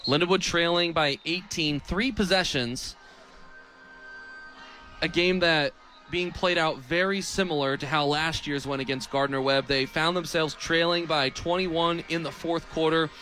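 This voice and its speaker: High, bright young American sports announcer. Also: male voice, unhurried, soft background music. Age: 20s. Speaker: radio play-by-play announcer. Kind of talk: live American football play-by-play commentary.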